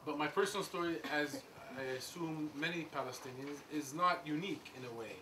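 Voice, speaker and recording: A man's voice: a little high, brisk. A middle-aged man from the United States tells his life story to a visiting group in a conversational way, explaining step by step and adding asides. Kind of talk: informal talk to a group. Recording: distant mic with audible room noise.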